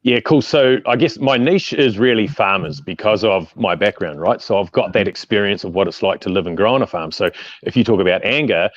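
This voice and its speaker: Low-voiced New Zealand man on a call. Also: a little fast, no room sound. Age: 40s. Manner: relaxed, plain-spoken, rambling. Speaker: guest, mindset coach. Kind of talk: casual conversational interview. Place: outdoors by a brick wall. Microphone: earphone mic on video call. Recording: dry, dull video call audio.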